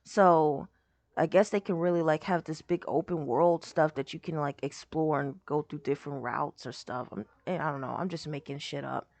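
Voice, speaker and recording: A young woman with a hoarse voice, rambling casually as she reacts to a game showcase video. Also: deep voice, steady tone, normal speed, a little mellow. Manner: rambling, lots of "like" and trailing off.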